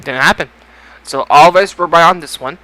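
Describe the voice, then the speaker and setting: Bright, clear-voiced male podcast host. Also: a little high, a little fast, poor audio. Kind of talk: casual sports results discussion.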